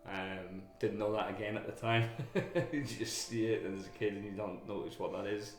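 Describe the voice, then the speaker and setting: A man from Wales, a guest telling his own story in a candid interview, halting, with many fillers and restarts. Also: lowish voice. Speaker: guest, army veteran. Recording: echoey room with music behind.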